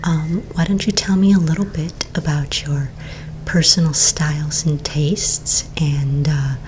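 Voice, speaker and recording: A woman voicing a courteous vampire real estate agent in a soft-spoken, mostly whispered ASMR roleplay, polite, unhurried and attentive. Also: low voice, fairly even intonation, a little hiss or hum. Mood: soothing, helpful.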